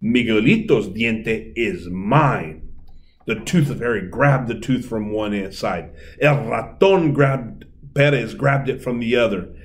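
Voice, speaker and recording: A middle-aged man reading a children's story aloud in an expressive, deliberate way. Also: medium pitch. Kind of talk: storybook read-aloud, bilingual. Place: indoor room, seated at a table.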